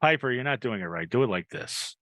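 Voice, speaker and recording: A man hosting a casual interview show, speaking haltingly and repeating words as he forms his questions. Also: mid-range voice, expressive, bright, forceful tone, no echo. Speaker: podcast host.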